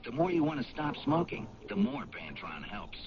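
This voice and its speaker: A man's voice: huge pitch swings, normal speed, vocal fry, heavily acted. An actor playing a father who smokes in a 1970s radio ad. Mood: folksy. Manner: folksy, pitchy. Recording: dull lo-fi radio, crowd noise.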